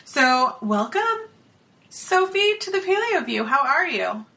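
A woman co-hosts a relaxed podcast chat in a bright, forward voice that sits a little high. She sounds upbeat and chatty, steering the talk and welcoming a guest. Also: takes it slowly, creaky. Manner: chatty, upbeat, runs the interview. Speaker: podcast co-host.

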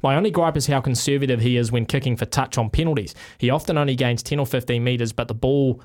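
A man's voice: mid-range voice, quick pace, a little mellow. A man with a New Zealand accent hosting a sports radio show in casual, bantering style.

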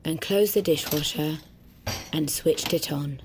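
A woman narrating a scripted literary story with a husky voice. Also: low-pitched, measured pace, noisy background.

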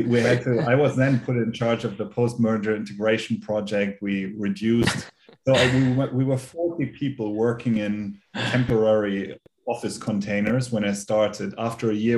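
A man in his forties speaking with a German accent, his voice low and narrow in pitch. He talks in a measured way over a video call, with frequent fillers and self-corrections as he works through his thoughts. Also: flat delivery, darkish tone, a little hiss or hum. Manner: measured, many fillers and restarts. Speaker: guest, company founder. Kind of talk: conversational interview.

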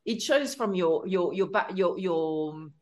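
Female interview host, lower register, halting, filler-heavy delivery. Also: darkish tone. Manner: hesitant, many fillers and restarts. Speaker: podcast host. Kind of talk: casual interview. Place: home in London.

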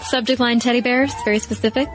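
A woman's voice: a little high, fairly even intonation, quick pace, over loud music. A woman co-hosting a podcast in casual chat, upbeat and amused. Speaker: podcast co-host.

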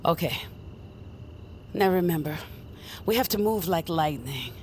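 A steady witch mentor in an audio drama. She speaks slowly in a fairly low voice, earnest and protective, over clear background ambience. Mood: guiding, grave. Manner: earnest, deliberate. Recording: noticeable ambient noise behind voice.

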